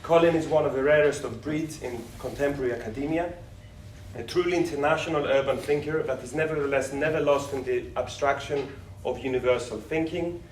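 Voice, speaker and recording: A man's voice: medium pitch, fairly quick. A man hosting an academic event, delivering a prepared, eloquent and emphatic formal introduction of a speaker. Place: indoor university lecture event. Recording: echoey room, distant mic, background noise.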